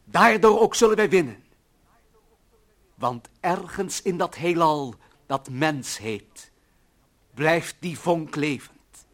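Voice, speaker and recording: An actor playing an earnest, idealistic employee who defends humanity in solemn, bombastic radio-play oratory. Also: male voice, a little high, animated, takes it slowly, pauses often, a bit bright. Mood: solemn, visionary. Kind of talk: declamatory older radio-play acting.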